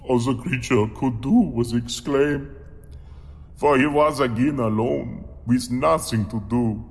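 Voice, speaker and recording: A man playing a monstrous man-eater, reciting a grim rhyming memoir in a slow, theatrical, deliberate manner with long reverb. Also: medium pitch. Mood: mournful. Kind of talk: theatrical rhymed storytelling.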